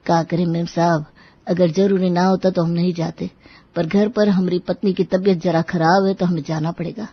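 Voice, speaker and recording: A woman's voice: fairly low pitch, flat delivery, normal speed, breathy edge, no echo, lo-fi sound. A woman voicing a humble, apologetic older male caretaker in a cartoon story.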